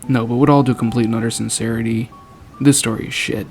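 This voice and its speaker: A man with a gravelly voice giving a dramatic reading and scornful review in a deliberate, foul-mouthed manner. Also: medium pitch, steady tone, a little mellow. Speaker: creepypasta narrator and reviewer. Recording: music playing behind the voice. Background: background music.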